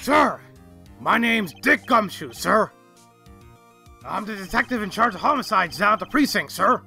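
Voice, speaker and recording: A man's voice: high voice, sing-song, normal speed, gravelly, pushed and bright, full character voice. A man voicing an eager, bumbling and deferential police detective in a video game fandub, with blustery delivery. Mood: eager, earnest. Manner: blustery, addresses superiors deferentially. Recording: music behind the voice.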